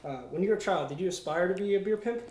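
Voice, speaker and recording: A man's voice: high-pitched, very fast, a little mellow. A man working as an interviewer, putting prepared questions to his guest. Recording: roomy echo with background noise.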